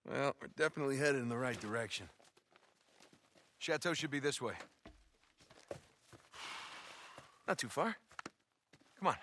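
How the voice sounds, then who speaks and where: Video game hero, male actor: stubborn American treasure hunter, wisecracking, bright lively voice. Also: mid-range voice, fairly quick, slight background noise, lightly acted. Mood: wry. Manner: casual, wisecracking. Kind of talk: naturalistic video game cutscene acting.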